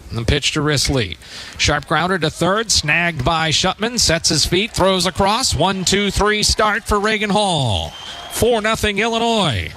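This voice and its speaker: A man's voice: sits high, lively intonation, a little slow, sharp tone, slight background noise. A man doing live radio play-by-play of a baseball game in short, punchy, descriptive phrases. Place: open-air stadium press perch.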